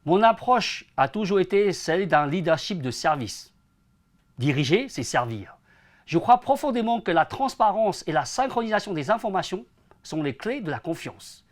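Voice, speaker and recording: A man's voice: high-pitched, big pitch swings, normal speed. A man in his forties, born in China and raised in France, giving earnest, prepared answers as an interview guest. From born in China, grew up in France from age ten. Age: 40s. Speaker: interview guest, entrepreneur and association president.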